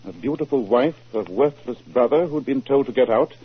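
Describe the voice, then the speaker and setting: A shrewd, husky English detective. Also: male voice, medium pitch, animated. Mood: musing, assured. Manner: deliberate, authoritative, deductive. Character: shrewd, self-assured male English detective, deliberate and incisive. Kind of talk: 1940s radio drama acting. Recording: muffled 1940s radio broadcast recording.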